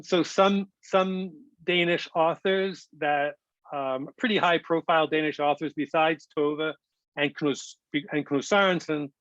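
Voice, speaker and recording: Brief: male translator, chatty and meandering, slightly high voice, muffled video-call sound. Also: fairly bright tone, no echo. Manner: rambling, many ums and restarts. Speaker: translator giving a talk. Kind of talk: virtual lecture Q&A, casual.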